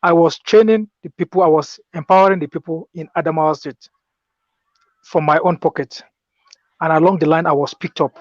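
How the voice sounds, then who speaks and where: A man's voice: a little high, steady tone, brisk, no echo. Nigerian man on dull lo-fi audio. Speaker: discussion guest. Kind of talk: political discussion, personal account. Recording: dull, dry lo-fi call audio.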